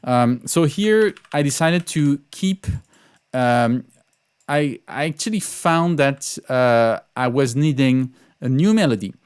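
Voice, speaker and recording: A man's voice: medium pitch, lively intonation, slow, darkish tone, clean tone, no echo. A man talking through an orchestration lesson as a composer, informally and hesitantly, thinking aloud as he goes. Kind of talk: informal tutorial walkthrough.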